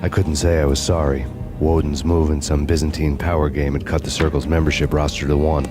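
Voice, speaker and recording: An actor playing a vengeful, world-weary American detective, narrating a noir game story in a deadpan, sardonic manner. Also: male voice, very deep voice, brisk, music in the background. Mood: grim, brooding. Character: vengeful world-weary hard-boiled male detective, bitterly wry.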